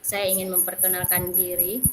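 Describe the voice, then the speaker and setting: A woman's voice: lowish voice, flat delivery, moderate pace, noisy background. A woman with a Malay accent from the Riau Islands, a teacher politely introducing herself on a video call with small laughs. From Riau Islands, Indonesia.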